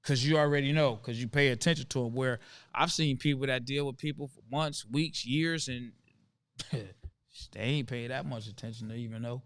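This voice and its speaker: Chill guy hosting a livestream, smooth clear voice, dry close sound. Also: medium pitch, fairly even intonation, fairly bright tone, no room sound. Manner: laid-back, calls out viewers by name, drawn-out emphasis. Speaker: livestream host. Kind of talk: casual livestream chat.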